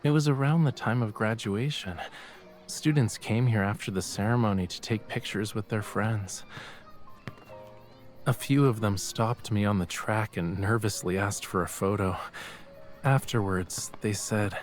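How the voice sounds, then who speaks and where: An actor playing a soft-spoken young artist and professor in a game, reflective and gentle, with pensive sighing delivery. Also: male voice, fairly low pitch, unhurried, soft background music, lightly acted. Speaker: video game character voice actor. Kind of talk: intimate dramatic game dialogue.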